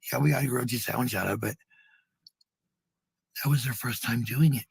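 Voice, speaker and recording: A man with a raspy, gravelly voice in casual, rambling chat, blunt and swearing freely. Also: mid-range voice, a little fast, no room sound.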